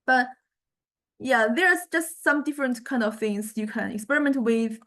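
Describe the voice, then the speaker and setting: A woman teaching music technology in an informal software demonstration, thinking aloud and explaining tentatively in a halting way. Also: a little high. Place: recorded software lesson.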